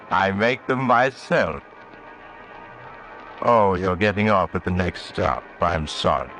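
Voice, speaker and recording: An actor playing a sly, macabre traveling storyteller, sardonic and mock-genial, in 1940s radio horror on a noisy old recording. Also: male voice, lowish voice, a bit bright, full character voice. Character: the Mysterious Traveler, sly, macabre male train companion.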